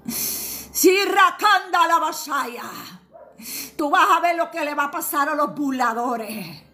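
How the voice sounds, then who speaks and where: A woman preacher with a high, bright voice delivers a fervent Pentecostal prophecy. She speaks slowly and emphatically, repeating her exhortations and breaking into tongues.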